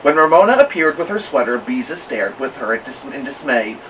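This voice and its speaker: Animated man reading a storybook aloud. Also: mid-range voice, a little fast. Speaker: storybook reader. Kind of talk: expressive read-aloud narration for children. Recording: distant mic, slightly dull, faint noise.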